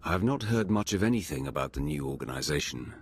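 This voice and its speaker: An actor playing a commanding, sardonic Sith lord in a game, with a husky voice and a blunt, imperious manner. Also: male voice, extremely low pitch, expressive, quick pace, heavily acted. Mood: brisk command.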